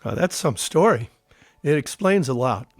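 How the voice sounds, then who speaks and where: An actor playing a grown war veteran, curious yet uneasy, with a plainspoken conversational manner in an audio drama. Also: male voice, fairly high pitch, expressive, normal speed, no echo, studio quality. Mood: curious, friendly.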